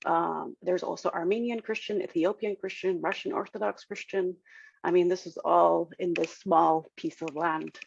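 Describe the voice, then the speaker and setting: Woman, first language arabic, gravelly low voice, dry muffled video call audio, explanatory with many fillers. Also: fairly even intonation, normal speed, no room sound. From Nazareth, Israel. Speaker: guest speaker at an interfaith service. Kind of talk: informal talk to a congregation.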